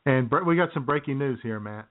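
A man co-hosting a radio show, relaxed and chuckling through casual banter. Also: medium pitch, brisk, very noisy. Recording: tinny phone-like sound.

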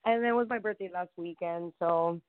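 A woman from Florida chatting casually as a regular on a radio show, relaxed and quick to laugh. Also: lowish voice, a loud crowd. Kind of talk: casual chat. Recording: tinny phone line.

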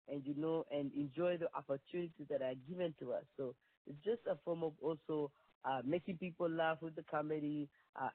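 A woman's voice: sits low, dry recording. Kenyan woman on a tinny phone line. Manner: earnest, rambling with many restarts. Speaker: performing artist guest. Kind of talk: phone-in interview.

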